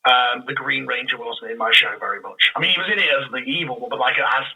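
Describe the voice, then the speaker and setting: A man talks casually as a podcast guest. His pitch is average and his tone is very bright and forward. He often stops and restarts as he works out what he means. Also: quick pace, gravelly, poor audio. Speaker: podcast guest, audio drama creator. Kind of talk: casual interview chat.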